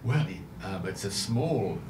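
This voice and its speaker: A man's voice: fairly low pitch, lively intonation, measured pace, background noise. Hesitant male radio host. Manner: hesitant restarts, frequent affirmations. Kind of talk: radio interview.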